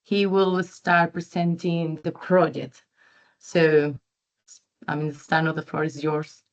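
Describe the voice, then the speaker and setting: A woman's voice: deep voice, flat delivery, takes it slowly. A woman moderating an online info session, friendly and a little hesitant. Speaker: event moderator. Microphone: video call. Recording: muffled video call audio.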